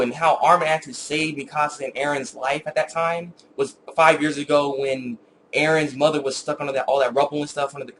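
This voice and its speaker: A man with a slightly high voice talks very quickly in a casual, off-the-cuff review. He rambles in an animated way as he retells scenes, and there is clear background noise on the recording. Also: poor audio. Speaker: anime reviewer with his own video channel.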